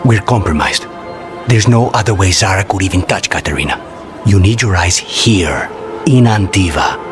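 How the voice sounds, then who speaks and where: A man's voice: low voice, animated, moderate pace, music in the background. A man voicing a brooding, courteous assassin with a dry, guarded manner in a video game cutscene. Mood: urgent, insistent.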